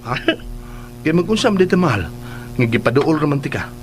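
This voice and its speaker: An actor with a Cebuano accent playing a jokey, amorous husband in a radio drama. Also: male voice, on the high side, big pitch swings, normal speed, over music. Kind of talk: Filipino radio drama acting. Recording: loud vehicle-like noise throughout.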